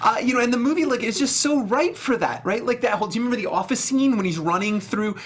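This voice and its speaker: A man's voice: very high voice, expressive, quick pace, over a noisy crowd. A man talking casually and emphatically on a film review panel, with slangy, incredulous joking. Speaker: film review show host.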